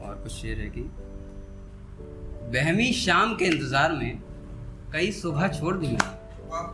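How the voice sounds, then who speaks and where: A man with a slightly high voice recites poetry as a stage performer. He repeats his couplets and sets them apart with deliberate pauses. Instrumental music plays clearly behind him. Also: big pitch swings. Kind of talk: live Hindi-Urdu poetry recital with spoken asides. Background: soft instrumental background music.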